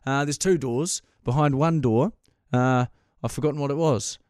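A man with a New Zealand accent, hosting a radio podcast in casual chat, hoarse and losing his voice, teasing and chatty. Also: medium pitch, moderate pace, darkish tone, no echo, studio quality.

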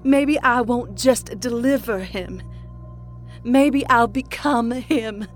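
A woman narrator voicing a fanatical, mocking woman patient in religious ecstasy, proclaiming in drawn-out phrases for an audiobook. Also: on the high side, faint music behind, voice pushed up. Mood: fervent proclaiming. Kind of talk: dramatic audiobook character acting.

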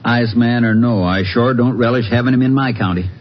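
A folksy country sheriff played by a man talks in a homespun, colloquial way at a middling pitch. The vintage radio drama recording is muffled, with faint noise. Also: a little fast, close to an everyday voice. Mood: folksy, curious. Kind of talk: 1950s radio drama acting.

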